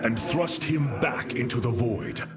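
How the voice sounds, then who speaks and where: A man's voice: mid-range voice, expressive, measured pace. A man voicing a noble, resolute alien commander in a video game, with formal, commanding oratory. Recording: loud game music underneath.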